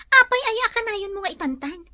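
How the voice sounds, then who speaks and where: A woman's voice: extremely high pitch, lively intonation, fast talker, sharp tone, no room sound, exaggerated voice. A woman playing an insistent young mother-to-be who demands marriage, in an Ilocano drama. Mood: pleading, insistent. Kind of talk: Ilocano radio-style drama acting.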